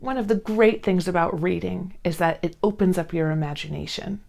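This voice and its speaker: A woman's voice: on the low side, brisk, creaky, a little mellow, soft background music. A woman presenting for young children, explaining things in simple words as a read-along host.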